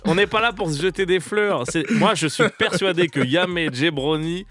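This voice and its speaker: A man's voice: high voice, fairly bright tone, a little hiss or hum. A young French man hosting a radio show, chatting casually and laughing often. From France. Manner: laughs often, jokey and fast-talking.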